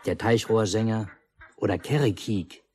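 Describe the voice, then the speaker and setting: An actor playing a calm storyteller in a 1950s radio play, narrating a lakeside village tale in measured, literary phrases. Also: male voice, on the low side, natural voice. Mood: measured storytelling. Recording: noisy 1950s mono radio recording.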